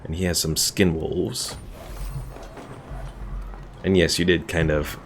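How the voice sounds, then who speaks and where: Male streamer, low register with vocal fry, casual rambling game commentary to chat. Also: fairly even intonation, slow pace, slight background noise. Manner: rambling, thinking aloud. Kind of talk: casual gameplay commentary while chatting with viewers. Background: game music.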